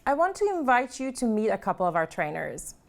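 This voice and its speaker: A woman hosts an event from a prepared script. Her voice sits fairly high and moves up and down in a very animated way as she introduces each part. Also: brisk, creaky. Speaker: event host.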